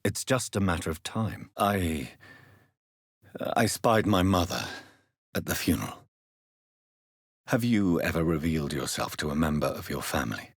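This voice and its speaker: Deep, husky, brooding gentleman vampire doctor. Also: animated, dry recording, clean studio sound, heavily acted. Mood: composed, earnest, then sorrowful, troubled. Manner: formal, measured, earnest. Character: grave, courteous, troubled gentleman doctor turned vampire. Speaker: video game protagonist. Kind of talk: dramatic video game dialogue.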